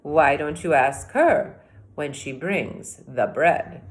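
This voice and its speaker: A woman's voice: deep voice, slow, voice pushed down. A middle-aged woman voicing a practical old grandma witch who fusses and advises in a storybook read-aloud. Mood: advising.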